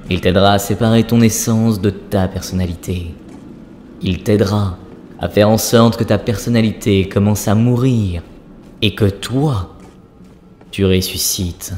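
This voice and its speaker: A man's voice: fairly low pitch, a little slow, a little mellow, slight background noise, natural voice. A man playing an ancient dwarf priest spirit in a cartoon, a solemn and instructive wise guide. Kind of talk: cartoon voice acting, spiritual teaching. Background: background music.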